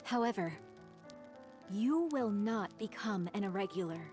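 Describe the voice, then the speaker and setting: A woman's voice: medium pitch, expressive, measured pace, darkish tone, soft background music, close to an everyday voice. A woman playing a loyal, self-dramatizing young warrior who speaks formally and solemnly in a game dub. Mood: resolute, devoted. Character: grandiose, loyal young woman warrior with dark-fantasy delusions. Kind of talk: English game dub voice acting.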